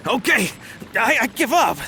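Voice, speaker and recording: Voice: medium pitch, big pitch swings, moderate pace, noisy background. An actor voicing a bumbling young would-be thief in a video game, nervous and boastful, with hesitant, slangy, folksy talk. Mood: nervous, sheepish. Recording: game music behind.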